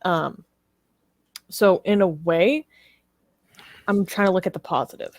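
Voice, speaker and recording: A woman co-hosting a political talk show, speaking in an informal, animated and emphatic way with strong opinions. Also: on the low side, measured pace, no room sound. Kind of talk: casual, opinionated live discussion.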